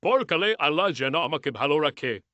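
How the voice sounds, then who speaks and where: A man acting a kindly old garden owner, bright-voiced. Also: on the high side, animated, moderate pace, no room sound, dull audio, voice pushed up. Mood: kindly, insistent. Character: kindly, generous, pious old man who owns a garden.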